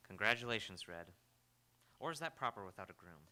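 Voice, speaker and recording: A man's voice: on the low side, lively intonation, fairly quick, bright, forceful tone. A young man playing a wry, debonair, teasing ex-husband in live radio theatre.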